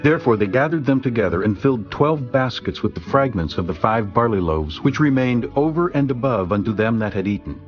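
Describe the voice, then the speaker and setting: A man narrating scripture in a steady, formal reading voice. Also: mid-range voice, a little fast, few pauses, muffled recording. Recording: music underneath.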